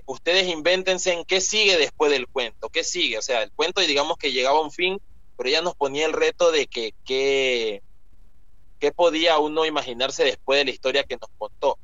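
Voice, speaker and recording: A man's voice: mid-range voice, pushed and bright, no room sound. A young man from Ecuador, a guest author talking casually and rambling with many fillers and restarts. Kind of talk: casual interview talk. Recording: muffled lo-fi sound.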